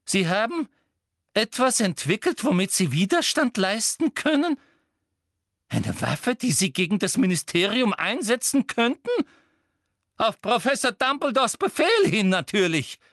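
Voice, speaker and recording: A man's voice: sits high, very animated, unhurried, a bit bright, no room sound, clean studio sound, voice pushed up, full character voice. A man voicing a bossy, greedy female ministry official in an audiobook, brusque, eager and biting in manner. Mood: excited, demanding.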